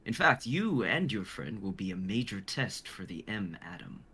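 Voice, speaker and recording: A man playing a coldly menacing older scientist in a live drama reading, lecturing in a deliberate, theatrical way. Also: low-pitched, very animated, sharp tone, slightly rough, full character voice. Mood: cold, knowing.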